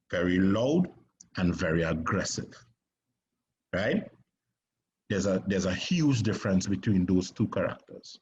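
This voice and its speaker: Trinidadian man teaching stage acting. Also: mid-range voice. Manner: lively, animated, often asks "right?". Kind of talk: stage acting lesson to a class. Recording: slightly dull recording with faint noise.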